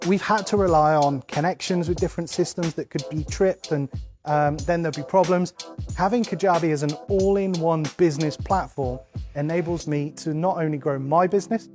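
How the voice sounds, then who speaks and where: A man's voice: on the high side, a little mellow. A man from England telling his story as a business owner in a promotional testimonial. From England, near London. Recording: music bed under the voice. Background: music.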